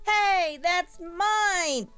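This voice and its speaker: A woman's voice: very high voice, drawn-out words, sharp tone, faint music behind, heavily acted. A woman voicing a polite, sensitive little girl, earnest and frank, in a horror radio drama. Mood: hurt, upset. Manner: earnest, timid but frank.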